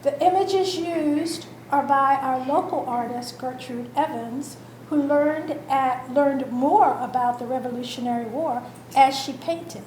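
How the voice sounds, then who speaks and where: A woman with a high voice makes slow, halting announcements to an audience, restarting her phrases now and then. The room gives her voice a noticeable echo, with faint background noise. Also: a little mellow. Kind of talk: announcements at a public talk. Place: event room in a historic house.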